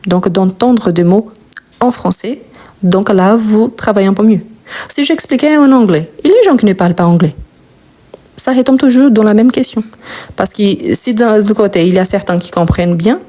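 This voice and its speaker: A young woman from Brazil, a native Portuguese speaker, teaches French grammar. Her voice is mellow and warm, with pitch in the middle range. She speaks patiently and slowly repeats example words for her learners.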